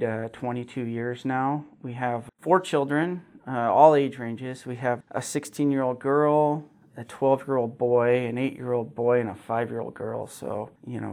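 A man in his forties from Wyoming, answering interview questions as a city council member in an earnest, modest, conversational way. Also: medium pitch. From Wyoming, United States. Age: 40s.

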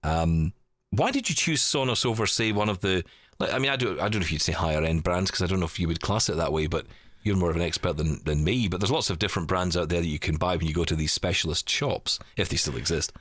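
A man's voice: low-pitched, fast, a bit bright, no echo, clean studio sound. A man hosting a podcast, asking rambling, hedging questions in casual chat. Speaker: podcast host.

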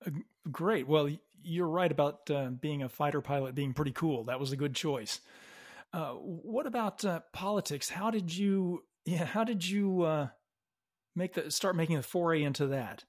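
A man hosting a podcast, introducing his guest and asking questions in a measured, slightly hesitant way. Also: a little high. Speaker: podcast host. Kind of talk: interview hosting.

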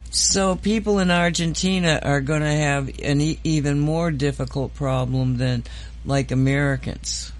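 Gravelly rambling male podcast host. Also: on the high side, fairly even intonation, unhurried. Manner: rambling and hesitant, many fillers. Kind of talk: casual podcast chat.

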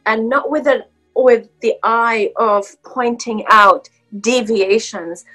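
Woman guest with many pauses. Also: fairly high pitch, pushed and bright, soft background music, poor audio. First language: Arabic. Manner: hesitant, many fillers and restarts. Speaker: guest scholar. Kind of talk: casual academic conversation.

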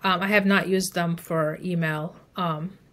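A woman's voice: fairly low pitch, fairly even intonation, measured pace, a bit bright. A woman speaking as an expert guest in a podcast interview, explaining advice conversationally. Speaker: email marketing expert guest.

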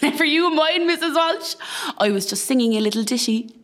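A woman's voice: high voice, animated, fairly quick, a bit bright. A woman playing a good-humoured, teasing hospital tea lady in an amateur radio comedy, with an Irish accent and a bantering manner. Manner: bantering, mock-exasperated.

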